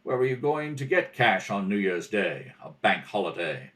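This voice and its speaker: A man playing a shrewd, composed detective in a radio mystery, measured, pointed and wry. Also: fairly low pitch, big pitch swings, a bit bright, pitched below the performer's own voice. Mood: probing, accusing. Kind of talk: old-time radio mystery acting.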